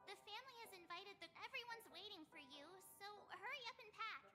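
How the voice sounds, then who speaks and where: A woman voicing a small, excitable companion in a video game, chatty and dramatic. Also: very high voice, brisk, long reverb tail, over music.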